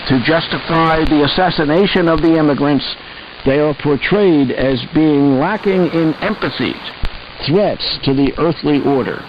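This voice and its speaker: A man reading scripted expository prose in a measured, formal way, as a narrator of articles. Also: on the high side. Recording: dull, hissy shortwave radio reception.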